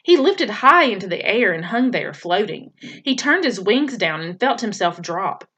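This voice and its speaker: A woman's voice: medium pitch, big pitch swings, moderate pace, a bit bright, slightly rough, lo-fi sound. A woman teacher reading a children's novel aloud, storytelling expressively with occasional slips and restarts.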